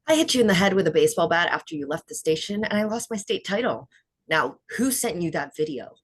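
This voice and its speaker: Casting: menacing, husky beauty queen out for revenge played by a woman, wide swinging intonation, thriller read. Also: fairly low pitch, lively intonation, fast talker. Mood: menacing anger. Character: vengeful, menacing beauty queen interrogating her captive. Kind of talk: screenplay table read acting.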